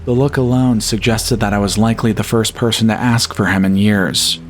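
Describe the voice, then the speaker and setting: A man narrating a scripted first-person horror story in a measured, solemn storytelling manner. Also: on the low side, fairly even intonation. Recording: music bed behind the voice. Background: atmospheric music.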